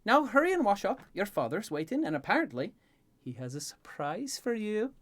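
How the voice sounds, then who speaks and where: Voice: mid-range voice, big pitch swings, moderate pace, pitched above the performer's own voice, close to an everyday voice. A narrator acts out an older woman caretaker in a fantasy story. She teases and scolds with obvious affection, her raised voice bouncing up and down as she bosses her young charge along. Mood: bossy, bustling. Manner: playful, bossy, mock-scolding.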